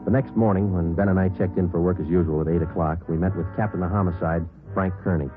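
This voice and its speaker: A man plays a deep-voiced, businesslike detective sergeant in an old radio crime drama. He fires off facts quickly in a clipped deadpan. The muffled vintage recording has music with it. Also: lightly acted. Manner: deadpan, clipped, matter-of-fact. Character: terse, businesslike male police detective sergeant narrating cases.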